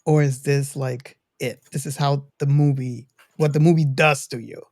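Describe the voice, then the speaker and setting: A podcast host in a casual film discussion, recorded dry and close. The voice sits at an unremarkable pitch and moves haltingly, pausing and restarting as it addresses co-hosts and listeners. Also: fairly even intonation, darkish tone, no room sound.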